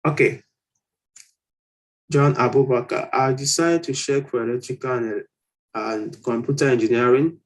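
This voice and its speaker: A man's voice: mid-range voice, steady tone, moderate pace, pauses often. A man hosting an informal question-and-answer session, explaining things and often rephrasing his points. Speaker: host answering applicants' questions. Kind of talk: informal live Q&A, reading questions aloud and advising.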